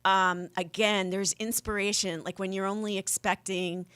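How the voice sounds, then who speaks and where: A woman's voice: medium pitch, flat delivery, moderate pace, a bit bright, clear, resonant tone. An American woman speaking conversationally as an activist guest in a radio interview, with an encouraging manner. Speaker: guest, activist and voter registration organizer.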